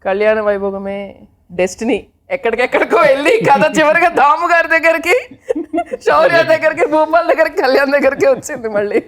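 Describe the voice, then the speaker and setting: A woman's voice: sits high, expressive, fairly quick. A woman from a film team chatting casually in a promotional group interview.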